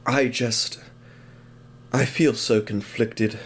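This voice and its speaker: A man playing a troubled young little steam engine in an audio drama, earnest, slow and hesitant. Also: medium pitch, steady tone, husky, exaggerated voice.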